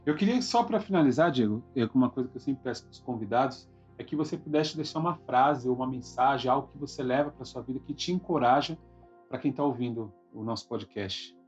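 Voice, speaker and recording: A man with a husky voice and a Brazilian Portuguese accent, hosting a podcast in a casual, encouraging way. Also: medium pitch, brisk. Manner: encouraging, informal. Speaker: podcast host. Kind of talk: casual conversational interview. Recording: dull lo-fi sound, faint music.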